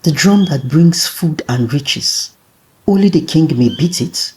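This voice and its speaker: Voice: medium pitch, lively intonation, unhurried, dark tone, faint music behind, pitched above the performer's own voice, natural voice. A storyteller voicing a sly, greedy, envious tortoise who mutters to himself in a folktale. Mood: scheming.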